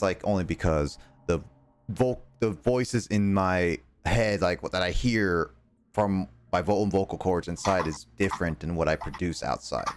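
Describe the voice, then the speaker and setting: A young American man streaming games, talking casually in halting, rambling bursts full of fillers and restarts. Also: low voice, slight background noise. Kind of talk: casual chat while gaming.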